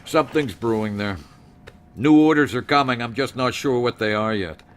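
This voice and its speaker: An actor playing a composed male superior officer in an audio drama, speaking in a measured, formal manner. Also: medium pitch, a little hiss or hum, close to an everyday voice.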